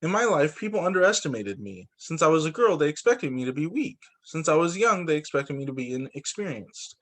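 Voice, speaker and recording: A man reads a children's book aloud as a teacher would. His voice sits a bit high and moves with very animated intonation. He phrases things deliberately and expressively for young listeners. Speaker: teacher reading a picture book aloud to students. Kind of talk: expressive read-aloud storytelling.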